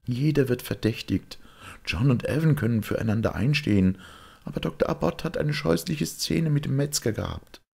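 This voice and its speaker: A man's voice: medium pitch, lively intonation, moderate pace, vocal fry, darkish tone, breathy edge, pitched above the performer's own voice, heavily acted. An indignant young woman of a detective audiobook, put on by a male reader. The voice is lifted well above the reader's own and sounds tense and pointed, with an anxious temper. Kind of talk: audiobook character voice.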